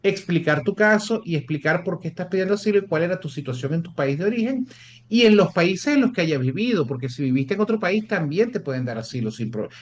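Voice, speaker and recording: A man's voice: high-pitched, expressive, fast, a little hiss or hum. A Venezuelan-born man, an immigration lawyer, explaining procedures in an interview, often repeating words as he restarts. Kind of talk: interview answers.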